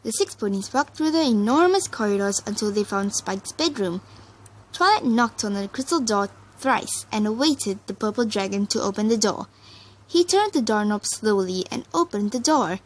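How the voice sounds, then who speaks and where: A woman reading fan fiction aloud as an expressive storyteller. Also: mid-range voice, normal speed, rough recording.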